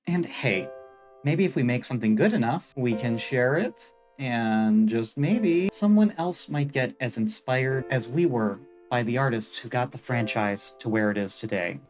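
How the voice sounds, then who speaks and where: A video essayist of mid pitch narrates over soft music. The delivery is scripted but rambles into casual, self-deprecating asides, with some casual swearing.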